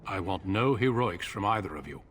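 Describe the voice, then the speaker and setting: An actor playing a calm, grave older warrior leader in a video game, speaking in a measured, formal manner. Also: male voice, sits low, a little hiss or hum.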